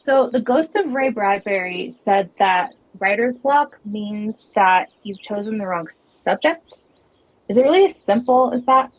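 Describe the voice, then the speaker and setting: A woman's voice: medium pitch, animated, measured pace, no room sound, low-quality recording. A woman playing an earnest, inquisitive camper, talking reflectively and conversationally in an audio drama. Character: earnest, inquisitive female camper with creative blocks.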